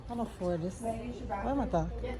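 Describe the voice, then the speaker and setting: A woman from Jamaica vlogging casually while getting a pedicure in a nail salon, relaxed and chatty, laughing often. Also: on the high side, a little fast. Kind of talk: casual vlog chat.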